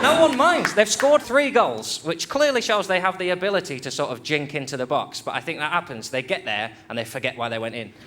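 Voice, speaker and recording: A Scottish man hosting a comedy show, delivering stand-up in a lively, mocking way. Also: mid-range voice. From Scotland. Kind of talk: stand-up routine. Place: TV studio stage with live audience. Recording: loud noise and audience laughter.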